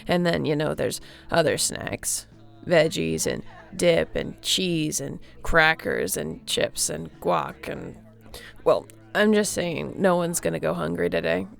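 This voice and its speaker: A woman playing a friendly, easygoing young surfer girl in an audio roleplay, chatting casually and welcomingly with a creaky voice and laid-back slang. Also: low voice, slow pace, faint music behind, exaggerated voice. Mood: cheerful, hospitable.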